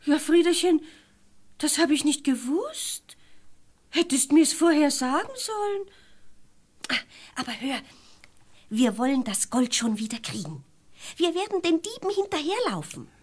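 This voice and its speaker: A simple-minded country wife from a fairy-tale radio play. Her voice is high and swings widely in pitch. She is artless, chatty and forever justifying herself. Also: big pitch swings, measured pace, pauses often, darkish tone. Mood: naive, cheerful. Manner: artless, exclamatory, self-justifying. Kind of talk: German fairy-tale audio play acting.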